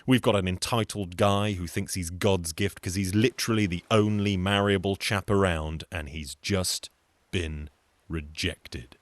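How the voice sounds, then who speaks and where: A man telling a folktale in a wry, conversational way with deadpan asides. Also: low-pitched, unhurried, dry recording. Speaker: storyteller and folk singer. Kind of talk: wry conversational storytelling with song.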